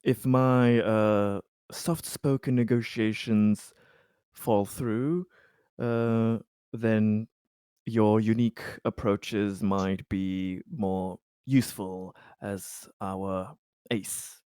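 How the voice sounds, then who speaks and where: A man plays a polite corporate diplomat in a sci-fi tabletop game. He speaks slowly and fairly low, with formal, wordy, halting phrasing, recorded dry and close. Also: fairly even intonation, dark tone, clean tone, no echo. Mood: formal, careful.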